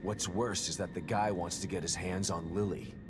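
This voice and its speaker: A man playing a gruff, weary, determined game protagonist, speaking tersely and dryly in a low voice. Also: steady tone, moderate pace, music in the background, natural voice. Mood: weary, wry. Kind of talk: video game cutscene acting.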